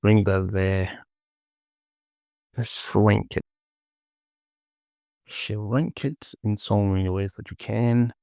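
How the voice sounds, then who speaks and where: A man with a deep voice talks slowly through a casual tutorial. He mutters to himself and repeats short phrases, with plenty of creak in his voice. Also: fairly even intonation, dark tone, no room sound, clean studio sound. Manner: muttering, repetitive self-talk. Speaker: 3D modeling tutorial host. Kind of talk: casual screen-recorded tutorial, thinking aloud.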